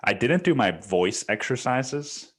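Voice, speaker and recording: A man's voice: medium pitch, animated, unhurried, a bit bright, husky. A man hosting a solo podcast from his bedroom, talking in a casual, rambling, profane and slangy way. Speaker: podcast host. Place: bedroom used as home studio.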